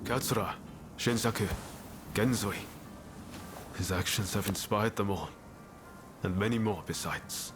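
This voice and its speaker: An actor playing a resolute, spirited young samurai rebel in a dramatic video game cutscene, speaking in an earnest, plain-spoken way. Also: male voice, fairly low pitch, measured pace, pauses often, close to an everyday voice. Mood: solemn, resolved. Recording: loud music bed. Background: dramatic music.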